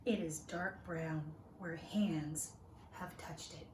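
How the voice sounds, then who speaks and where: Woman, children's story read-aloud, slow deliberate teacherly delivery, roomy echo, faint background noise. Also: on the low side, steady tone, far from the mic. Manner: deliberate, simple explanatory phrasing for small children. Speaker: teacher reading a picture book to young children.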